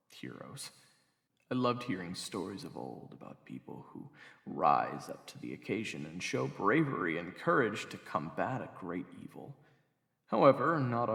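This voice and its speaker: A man playing a wistful, earnest cook who tells old legends in a hushed, halting, reverent way for an audio roleplay. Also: low-pitched, vocal fry, husky, exaggerated voice. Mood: fond, wistful. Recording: music behind the voice.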